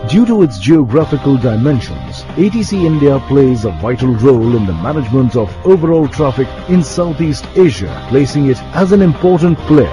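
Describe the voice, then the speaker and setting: A man's voice: mid-range voice, lively intonation, moderate pace, few pauses. A man delivering scripted documentary narration, as a television narrator. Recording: loud music bed under the voice.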